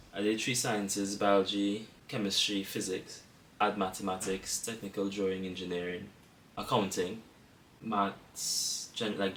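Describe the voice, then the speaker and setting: Young Trinidadian man, lowish voice, casual and rambling, recorded off-mic in an echoey room. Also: recorded from a distance, a little hiss or hum. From Trinidad and Tobago. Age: young adult. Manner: relaxed, rambling, self-correcting. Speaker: guest student. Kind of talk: casual chat.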